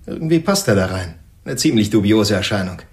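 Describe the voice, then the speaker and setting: A sceptical police sergeant acted by a man in a crime radio play. His delivery is wry and pointed, the voice lowish with a roomy echo. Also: animated, fairly quick, natural voice. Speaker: actor in a radio drama.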